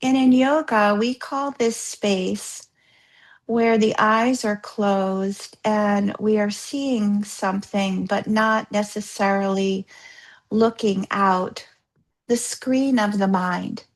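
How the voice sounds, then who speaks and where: Female yoga therapist for guided relaxation: mid-range voice, very slow delivery, soothing and gently instructive, leaving space between phrases. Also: mid-range voice, steady tone. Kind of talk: guided yoga nidra meditation.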